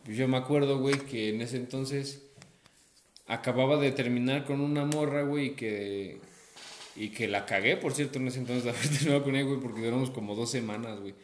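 A man's voice: medium pitch, steady tone, fairly quick, a little hiss or hum. A young man with a Mexican accent hosting a casual chat over drinks, swearing and slangy. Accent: Mexican Spanish. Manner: slangy, swears a lot, says güey constantly. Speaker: podcast host. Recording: echoey room.

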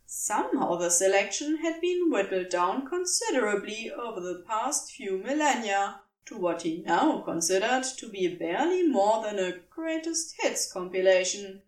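A woman narrating fiction as a scripted audiobook reading, expressive and measured, with deliberate pauses. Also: mid-range voice, recorded from a distance, rough recording. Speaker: podfic narrator.

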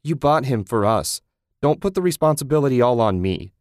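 A furious wife yelling back at her husband in an audiobook story, as a man reads her in a lifted voice. She is loud and defensive. The sound is very dry and close. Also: fairly low pitch, animated, normal speed, no echo. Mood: defensive, angry. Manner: shouting, defensive, raised voice. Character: angry, exasperated wife in a marital fight.

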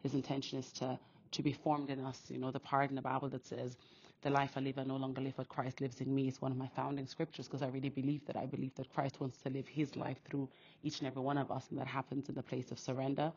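A woman from Austria hosting a podcast, sharing her faith in an earnest, quick, casual monologue full of restarts. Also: rumbling low, completely flat delivery, a little mellow. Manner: earnest, talks fast with many restarts. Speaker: podcast host. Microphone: phone. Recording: dull phone recording.

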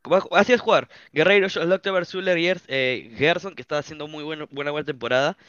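Co-host, smooth dull-toned voice, dry sound, sure of his picks. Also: fairly high pitch, fast, a bit bright, dry recording. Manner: confident, rattles off lineups. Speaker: co-host, football fan.

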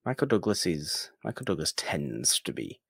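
A man's voice: sits low, very animated, moderate pace, vocal fry, a bit bright, slightly rough, no echo, studio quality. A man co-hosting a podcast, casually discussing films in an emphatic way, often repeating words and phrases for stress. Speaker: podcast co-host.

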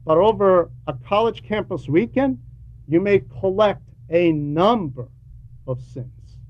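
A man preaching a sermon with emphatic, deliberate phrasing and frequent pauses. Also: high-pitched, expressive. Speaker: preacher reading a translated homily. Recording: dull lo-fi recording with background noise.

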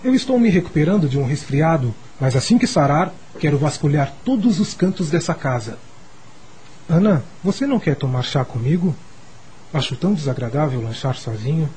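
An actor playing a lonely, sickly rich schoolboy in a Brazilian radio drama, confiding in a childlike way, with a Brazilian accent and a husky voice. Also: male voice, a little high, lively intonation, normal speed, warm tone, a little hiss or hum, natural voice, rough recording. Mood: confiding, wistful.

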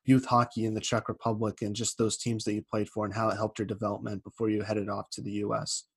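A man with a lowish voice hosts a casual interview. He talks fast and often restarts his questions mid-sentence. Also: completely flat delivery, vocal fry, slightly rough.

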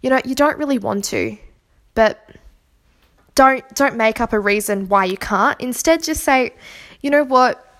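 A woman's voice: on the high side, a little slow, pauses often. A woman hosting a solo podcast, talking earnestly and reflectively while nursing a cold and sore throat. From Australia. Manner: earnest, reflective, gently encouraging. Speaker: podcast host. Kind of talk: solo podcast monologue on kindness.

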